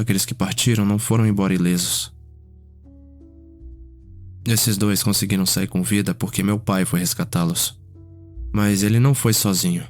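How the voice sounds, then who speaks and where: A man performs a reflective male vampire in an ASMR story, speaking with a Brazilian accent. His voice is low and his telling measured and courteous, over faint music. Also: darkish tone, natural voice.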